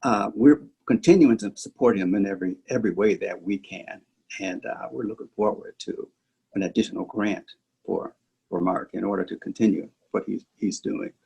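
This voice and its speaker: A man speaking as a club president, giving earnest, soft-spoken remarks over a video call. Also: fairly low pitch, moderate pace, creaky, slightly rough, muffled recording.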